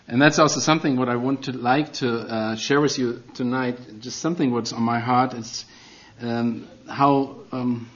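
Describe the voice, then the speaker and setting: A man's voice: mid-range voice, steady tone, normal speed, few pauses, a bit bright. German-accented guy preaching, earnest, kinda dull lo-fi sound. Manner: earnest and self-deprecating, with non-native phrasing. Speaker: guest preacher. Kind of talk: sermon.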